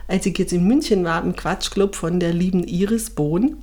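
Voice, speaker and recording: A woman's voice: on the low side, moderate pace, mellow. A woman co-hosting a podcast in casual chat, playful and chatty, jumping from topic to topic. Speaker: podcast co-host. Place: hotel room.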